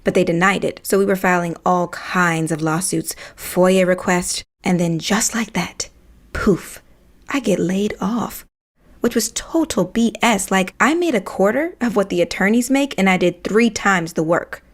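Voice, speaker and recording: A woman's voice: mid-range voice, unhurried, close to an everyday voice. An actress playing an earnest, slightly preachy young paralegal who tells her story in a wry, colloquial way in an audio play. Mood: exasperated. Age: young adult. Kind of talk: naturalistic monologue in an audio play.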